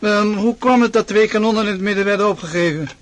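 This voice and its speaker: Man playing a higher-voiced, commanding army chief. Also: fast talker, lightly acted. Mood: measured approval. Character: commanding male army chief, formally addressed as excellency. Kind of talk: radio drama acting.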